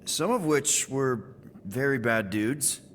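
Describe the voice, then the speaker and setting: An actor playing a casual, wisecracking space adventurer in a video game, with an informal, flippant way of talking. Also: male voice, mid-range voice, lively intonation, slow pace, fairly bright tone, a little hiss or hum. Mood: curious. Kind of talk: video game cutscene acting.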